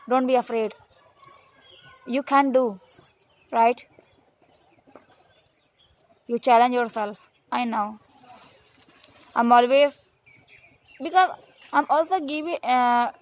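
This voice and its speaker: A woman whose first language is Hindi, giving an earnest motivational talk in halting English with many restarts, repeated phrases and fillers. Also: sits high. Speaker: vlogger. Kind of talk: motivational monologue, practicing English.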